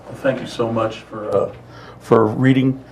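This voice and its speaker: A man speaks as the host of a casual interview program. His voice sits at a middle pitch, with clear background noise around him. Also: level tone, a little slow, darkish tone, low-quality recording.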